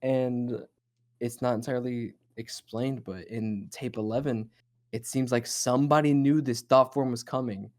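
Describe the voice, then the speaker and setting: A man co-hosting a casual horror chat show, with a dark, relaxed voice at an everyday pitch. He theorizes in a rambling, loose way and swears freely. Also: unhurried. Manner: rambling, profane, speculative. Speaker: host of a horror discussion show. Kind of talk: casual chatty analysis of horror lore.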